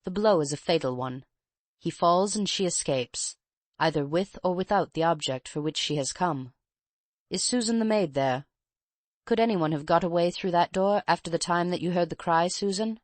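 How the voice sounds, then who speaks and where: A woman voicing a keen male detective who talks in a measured, probing way, in an audiobook. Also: lowish voice, no room sound, natural voice. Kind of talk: audiobook character reading.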